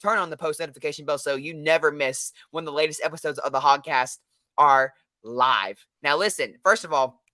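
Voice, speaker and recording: A young man co-hosting a casual sports podcast, hoarse from losing his voice, talking in a hyped, energetic way. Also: a little high, a little fast, no echo, studio quality. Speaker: podcast co-host.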